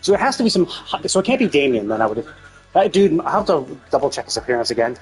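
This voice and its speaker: A man streaming a game, casually rambling and thinking out loud as he commentates. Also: on the high side, big pitch swings, quick pace, slightly rough, faint music behind, low-quality recording. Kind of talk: casual live stream commentary, speculating aloud.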